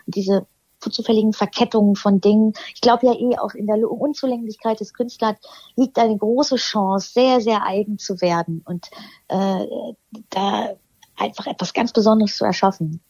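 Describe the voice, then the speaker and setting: A woman from eastern Germany, a singer as interview guest, talking thoughtfully with many pauses and restarts. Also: mid-range voice, fairly even intonation, mellow, breathy edge, no room sound, lo-fi sound. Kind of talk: casual interview chat.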